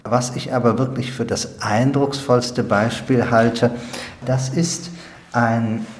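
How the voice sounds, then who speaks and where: Male archivist in an interview, explains really slowly and deliberately, roomy echo, bit of noise behind. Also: mid-range voice, steady tone. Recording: echoey location recording, faint background noise.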